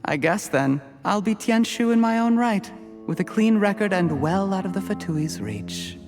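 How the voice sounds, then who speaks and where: Smug, higher-voiced calculating villain. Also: male voice, expressive, music in the background. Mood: smug, gloating. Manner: measured, theatrical villain monologue with mocking politeness. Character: cold, calculating official turned smug poisoner, gloating villain. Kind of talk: scripted video game voice acting.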